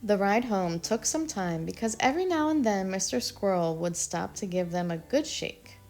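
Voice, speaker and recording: A woman reads a children's story aloud with a lively, expressive delivery. Her voice has a gravelly texture. Faint music plays behind her. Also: medium pitch, normal speed. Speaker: storybook reader. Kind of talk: children's read-aloud narration.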